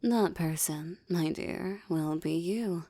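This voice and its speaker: Woman playing a slow, low, velvety seductive succubus. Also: warm tone, no echo. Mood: yearning. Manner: slow, breathy, formal and ornate diction, teasing. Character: seductive, menacing adult female succubus demon, teasing and possessive. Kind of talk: intimate audio roleplay, ASMR-like monologue addressed to listener.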